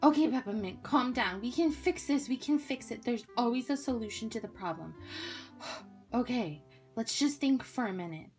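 A woman's voice: fairly high pitch, animated, slow pace, pauses often, darkish tone, soft background music. A woman voicing a sensible, bossy girl who is exasperated but reassuring, speaking in an emphatic, matter-of-fact way in a children's pretend-play story.

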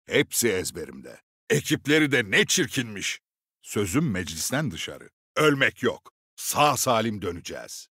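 An actor playing a gruff, middle-aged veteran commander in a video game, barking short, authoritative orders with dry swagger. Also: male voice, lowish voice, very animated, a little slow, bright, forceful tone, no echo, full character voice. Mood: tough, boastful. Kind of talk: video game voice lines, short military barks.

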